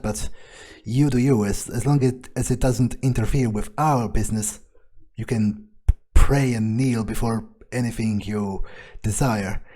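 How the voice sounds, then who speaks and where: Man playing a cynical vampire with a mellow, dark voice. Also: mid-range voice, close to an everyday voice. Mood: dismissive, arrogant. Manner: hesitant, blunt, profane. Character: cynical, arrogant male vampire, blunt and irreverent. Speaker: gamer roleplaying his character. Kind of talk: improvised in-character video game roleplay.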